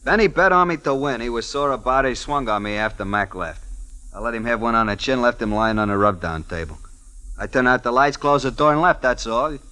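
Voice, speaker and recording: A man playing an excitable, defensive small-time prizefighter in an old-time radio drama. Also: medium pitch, a little fast, clear, resonant tone.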